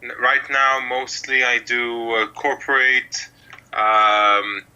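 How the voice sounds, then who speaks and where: A man's voice: mid-range voice, level tone, takes it slowly, bright, forceful tone, clean tone, dull audio. A middle-aged man from Israel, a mentalist telling his story in an interview, rambling with many fillers. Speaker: mentalist guest. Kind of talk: relaxed interview talk.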